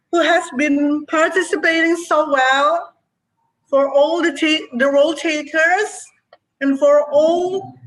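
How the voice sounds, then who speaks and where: A woman from Indonesia hosts an online speaking-club meeting over a video call. Her voice sits high and she speaks slowly. Her manner is cheerful and encouraging. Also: fairly bright tone, poor audio. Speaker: meeting host, Toastmaster of the day.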